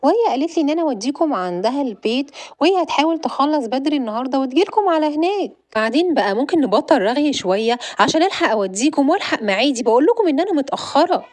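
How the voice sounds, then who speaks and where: A caring mother, rushed and on her way out, gives her children a quick run of instructions, voiced by a woman. She talks at a fast clip, and the sound is dry and close. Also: high-pitched, animated, darkish tone, no echo. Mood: hurried. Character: hurried mother, caring and busy.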